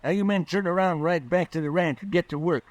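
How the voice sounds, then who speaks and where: A man's voice: high voice, fairly quick, no room sound, lightly acted. A man playing an irritable, bossy rancher who scolds in folksy western talk in an old-time radio drama. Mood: irritated.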